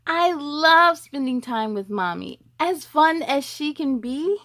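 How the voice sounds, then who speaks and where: Female children's read-aloud host, very high voice, animated sing-song delivery, speaks directly to kids. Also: takes it slowly. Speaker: host of a children's story time show.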